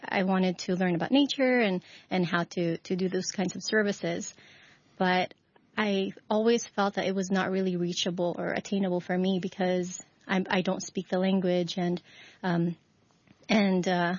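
A young woman from Hong Kong, a radio guest talking about community work, relaxed and soft-spoken with many pauses and fillers. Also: mid-range voice, no echo. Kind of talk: radio interview. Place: radio studio. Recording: dull dry studio sound.

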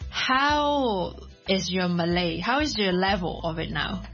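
A woman from Hong Kong hosting a casual interview, lively and quick to laugh. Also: medium pitch, highs cut off, soft background music. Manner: lively, laughs often, many backchannels. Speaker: host.

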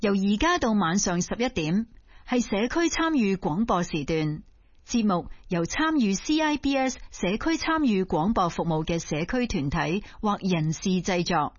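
A woman with a Hong Kong accent reads a formal continuity notice. Her pitch is average. The recording is very dry and a little muffled. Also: lively intonation, moderate pace, dry recording. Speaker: radio continuity announcer. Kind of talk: formal scripted announcement.

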